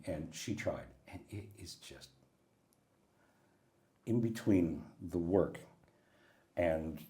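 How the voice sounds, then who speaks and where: A middle-aged man with an American accent chats as an interview guest in a casual, animated way. His voice sits very low with a dark, mellow tone, yet it swings widely in pitch as he acts out the people he quotes. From USA.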